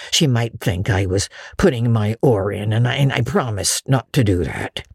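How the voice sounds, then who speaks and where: A woman playing a shy, kindly older farm man in a storybook reading, hesitant, hushed and repeating himself. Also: extremely low pitch, lively intonation, normal speed, slightly rough, dry recording, studio quality, pitched below the performer's own voice, heavily acted. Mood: relieved, secretive.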